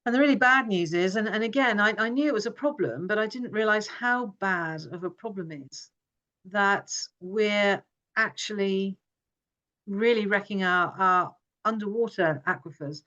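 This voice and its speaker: Woman raised in Hong Kong, forum speaker, earnest and halting, mid-pitched voice. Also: sharp tone, lo-fi sound. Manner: earnest, many restarts and fillers. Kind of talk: informal presentation.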